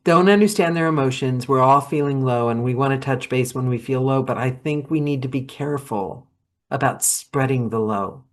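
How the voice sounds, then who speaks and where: A woman hosting a casual podcast chat, rambling and animated, laughing often. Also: rumbling low, moderate pace. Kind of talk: casual video-call chat.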